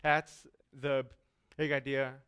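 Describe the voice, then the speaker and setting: A young man speaks on stage to a live audience in a smooth, resonant voice. He stutters often, catching on first sounds and repeating words. His delivery is earnest and deliberate. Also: medium pitch, little pitch movement, fairly bright tone, dull audio. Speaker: speaker giving a talk. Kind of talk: motivational stage talk.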